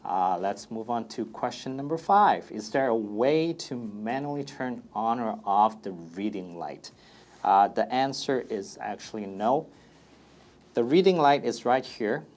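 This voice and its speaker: Male product presenter, lowish pitch, casual FAQ demo, plain step-by-step explanations. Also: unhurried, darkish tone.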